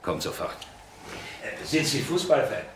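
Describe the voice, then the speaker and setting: A man's voice: medium pitch, sing-song, a little slow, a bit bright. A man acting an obliging, chatty country innkeeper in a 1960s German radio play. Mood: eager. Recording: roomy, noisy scene with ambience.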